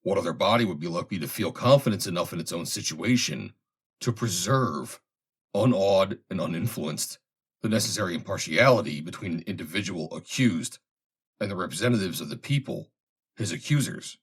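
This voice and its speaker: Low, husky man reading formally. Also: animated. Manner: measured, formal, deliberate phrasing. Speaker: reader, narrator. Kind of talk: scripted reading of a formal historical essay.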